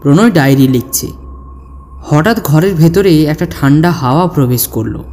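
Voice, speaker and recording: A man's voice: a little high, animated, moderate pace, a little mellow. A man reading a scripted ghost story as narrator, with dramatic, suspenseful delivery. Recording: long hall reverb, music underneath. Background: music.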